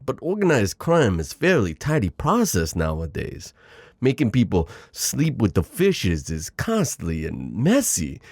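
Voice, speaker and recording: A man's voice: on the low side, a little mellow, no room sound, clean studio sound, full character voice. A man playing a chatty, exasperated mafia boss in an audio roleplay, courteous but foul-mouthed, with wisecracking gangster slang. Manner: wisecracking gangster slang, saying "yous" and "doll". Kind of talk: ASMR roleplay acting.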